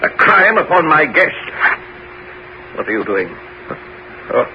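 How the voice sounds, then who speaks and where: A man's voice: on the high side, big pitch swings, slow pace. An actor playing a loyal, honourable nobleman who is easily shocked, in theatrical, exclamatory 1970s radio drama style. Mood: outraged. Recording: muffled, noisy 1970s radio broadcast.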